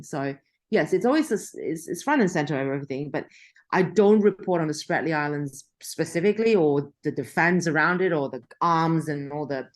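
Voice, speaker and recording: Chatty journalist woman, lowish voice. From Australia. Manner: chatty, frequent restarts and laughter. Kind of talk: interview conversation.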